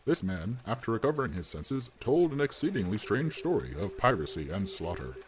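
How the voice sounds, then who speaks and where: Lively male voice reading a news report. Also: on the low side, a little fast, a crowd in the background, rough recording. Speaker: reader of a newspaper article.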